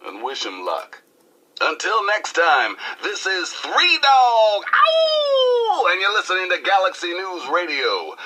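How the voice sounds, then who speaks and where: An actor playing a hip, irreverent post-apocalyptic radio DJ with flamboyant, slangy, playful patter. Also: male voice, mid-range voice, sharp tone, husky, full character voice, low-quality recording. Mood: earnest. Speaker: radio disc jockey.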